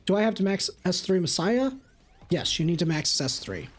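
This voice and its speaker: A man live-streaming a game, reading chat questions aloud and answering them in an animated way. His voice is slightly raspy. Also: high-pitched, normal speed.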